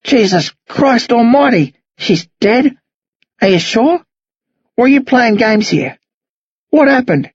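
A man voicing a confused, lonely old Australian man in an audiobook, speaking in halting questions and pleading exclamations. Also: high-pitched, sing-song, a little mellow, slightly rough, no echo, muffled recording, voice pushed up, heavily acted. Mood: distressed, pleading. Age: older.

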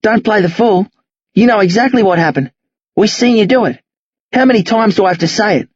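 A man's voice: sits high, fairly quick, husky, no room sound, muffled recording, voice pushed up. A man voicing an angry, defiant teenage Australian boy in an audiobook, blunt, slangy and confrontational. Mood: insistent, angry. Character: angry accusing teenage boy, rough, foul-mouthed, defiant.

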